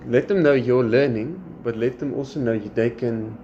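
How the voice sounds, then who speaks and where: A man's voice: mid-range voice, normal speed. A South African man whose first language is Afrikaans, chatting casually as a podcast host and acting out his students' lines. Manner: animated, quotes his students. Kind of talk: casual chat. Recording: slightly dull sound with faint background noise.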